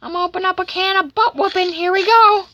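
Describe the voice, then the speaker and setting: A woman plays an aggressive little marshmallow man from a homemade story. The voice is pitched very high and pushed forward, bright and forceful, with taunting, bullying delivery. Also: fairly even intonation, quick pace, no echo. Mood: taunting, gloating. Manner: threatening, taunting.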